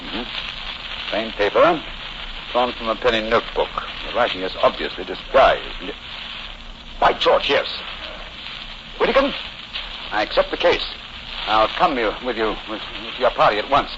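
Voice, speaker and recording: Old muffled radio drama, amused English gentleman sleuth, clipped, theatrical, very expressive intonation. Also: on the low side, moderate pace, long pauses. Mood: brisk, intent. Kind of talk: 1940s radio drama acting. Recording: noisy, dull old 1940s radio recording.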